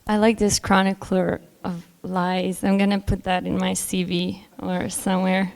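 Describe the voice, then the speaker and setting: A woman from Bosnia speaks on a festival panel in a smooth, clear voice that sits a little low. She picks her words slowly, filling gaps with small sounds and brief laughs. The sound carries a long hall reverb. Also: flat delivery, a little mellow. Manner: thoughtful, frequent ums, laughs between points. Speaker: panel guest, novelist. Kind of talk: panel discussion answer.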